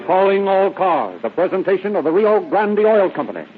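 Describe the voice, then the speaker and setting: A man's voice: high-pitched, sing-song, normal speed, over music. A man announcing grandly in a declamatory 1930s radio style. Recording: narrow old radio broadcast.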